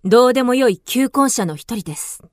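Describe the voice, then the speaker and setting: Woman playing a haughty, high-voiced young noblewoman. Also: lively intonation, a little fast, a little mellow, dry recording, clean studio sound, lightly acted. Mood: haughty, imperious. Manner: imperious, formal, refined speech. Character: haughty young noblewoman in mourning, easily smitten. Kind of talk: radio drama acting.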